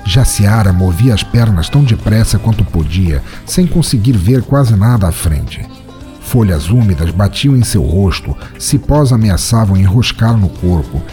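Deep, dark-voiced male narrator. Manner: dramatic, suspenseful storytelling. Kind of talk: scripted audiobook narration. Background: soft background music.

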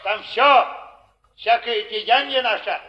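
A sanctimonious old skinflint of a landlord, very high and piercing in voice. He drags out his words slowly, wheedling and piously sighing between phrases in old Russian stage manner. It sounds like a muffled, echoey recording. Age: older. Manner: wheedling, pious, halting with many interjections. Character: sly, miserly, sanctimonious old landlord of a flophouse. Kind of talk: theatrical Russian stage acting, mid-century.

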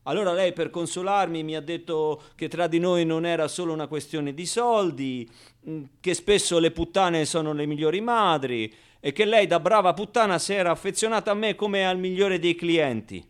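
A man performing a theatrical first-person monologue as an actor, in an expressive, colloquial storytelling delivery. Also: on the high side, moderate pace, smooth.

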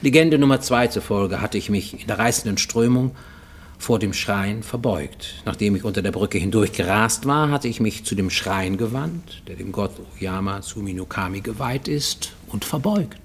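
An actor playing a grown man, orphaned as a child, who recounts his past in a measured radio-play monologue with dramatic pauses. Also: fairly low pitch, animated, slight background noise. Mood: confiding, wry. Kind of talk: literary first-person monologue in a radio play. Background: music.

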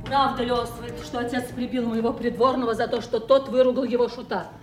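An actress playing an imperious, resentful duchess in a radio drama, giving orders with commanding impatience. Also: female voice, a little high, steady tone, brisk, over music, close to an everyday voice. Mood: irritated. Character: Goneril, imperious resentful duchess, king's hard daughter. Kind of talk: theatrical radio drama acting.

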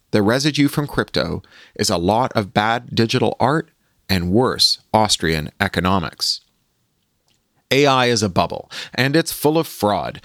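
A man hosting a podcast, reading a scripted opinion column aloud in an articulate, measured and emphatic way. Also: lowish voice, fairly bright tone, no echo, studio quality. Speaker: podcast host reading own magazine column.

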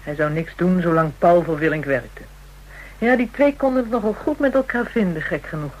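A tough, taunting underworld woman played by an actress in a radio drama, her voice low for a woman and husky. She speaks in colloquial, scornful jabs with a self-pitying edge. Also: moderate pace, lo-fi sound, lightly acted. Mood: amused, boastful. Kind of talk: Dutch radio drama acting.

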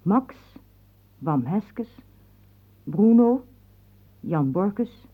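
A woman radio announcer reading credits formally, measured and carefully enunciated. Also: medium pitch, animated. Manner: measured, enunciated, pausing between names. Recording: muffled, narrow-band old radio recording.

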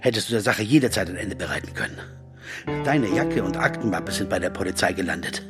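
A man's voice: low-pitched, lively intonation, a little fast. A man playing a jazz pianist in a radio drama, earnest and sometimes angry, over background music. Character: jazz pianist, wry and dogged. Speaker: narrator and lead. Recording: music bed behind voice.

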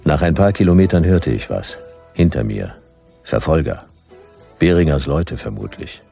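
Very deep, laconic detective narrator. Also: male voice, very animated, normal speed, creaky, warm tone, faint music behind, heavily acted. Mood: dry, wry. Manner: laconic, dry, clipped sentences. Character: laconic hard-boiled male private detective, wryly narrating. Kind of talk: noir radio play first-person narration.

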